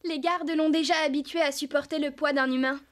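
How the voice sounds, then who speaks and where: A woman voicing a kind, modest teenage girl rider in an animated series, gentle in manner. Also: sits high, a little fast, close to an everyday voice. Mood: calm, kindly. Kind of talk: animated series voice acting, French dub.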